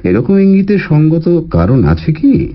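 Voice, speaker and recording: Seasoned heart doctor, deliberate, guarded, dramatic pitch swings, dull audio story recording. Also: male voice, sing-song. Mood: grave, guarded.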